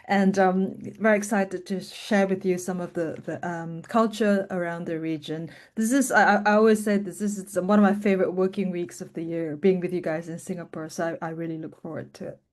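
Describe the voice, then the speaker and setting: Chatty professor from Malaysia. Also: female voice, on the low side, fairly even intonation, brisk, hardly any pauses, darkish tone. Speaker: economics professor. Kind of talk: self-introduction on a video call. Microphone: video call.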